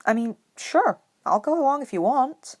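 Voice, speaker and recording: A woman's voice: high voice, expressive, moderate pace, voice pushed up. A woman voicing a curious, teasing schoolboy in an audiobook, with an offhand, easygoing manner.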